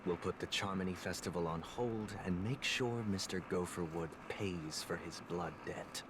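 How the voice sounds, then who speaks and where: Casting: male actor as composed young head of a noble family, low and measured, game dialogue over background noise. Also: lightly acted. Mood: solemn. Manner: measured, formal. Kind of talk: video game voice acting.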